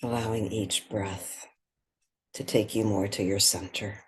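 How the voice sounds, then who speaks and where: A meditation guide with a soft, breathy voice, speaking gently and without hurry, leaving long pauses. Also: low-pitched, fairly even intonation.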